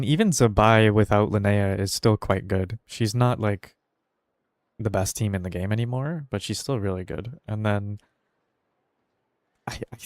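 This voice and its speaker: Low-voiced, laid-back man chatting on stream. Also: darkish tone, no room sound, clean studio sound. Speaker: game streamer. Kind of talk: casual livestream chat while gaming. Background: faint music.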